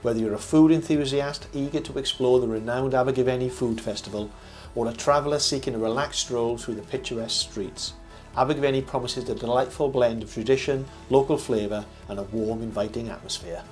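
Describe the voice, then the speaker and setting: An older man reading a scripted review voice-over as host, in a measured, formal presenter manner. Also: mid-range voice, a little mellow. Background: background music.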